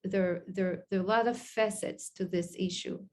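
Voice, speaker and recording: A middle-aged woman from Israel with an Israeli accent, a clinical psychologist giving an online lecture in a halting way with many pauses and fillers. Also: mid-range voice, steady tone, darkish tone.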